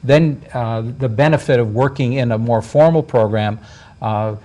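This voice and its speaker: A man's voice: mid-range voice, moderate pace, warm tone. A man talking in a casual talk show, hesitant, with many stammers and restarts.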